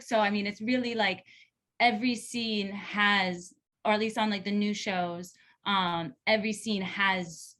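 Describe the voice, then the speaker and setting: A woman's voice: mid-range voice, a bit bright. A woman speaking as an actor giving casual feedback in a group, enthusiastic and meandering. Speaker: actor giving feedback after a table read.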